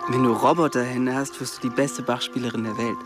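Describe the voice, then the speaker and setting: A man's voice: medium pitch, fairly even intonation, a little fast, over music, natural voice. An actor playing an earnest, devoted and protective boy in a radio play, speaking tenderly. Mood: hopeful, devoted.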